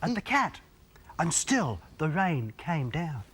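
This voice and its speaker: A man reading a children's storybook aloud in a theatrical way, drawing out phrases and using dramatic pauses. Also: fairly high pitch, very animated, breathy edge. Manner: theatrical, with drawn-out phrases, gasps and dramatic hushes. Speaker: storybook reader.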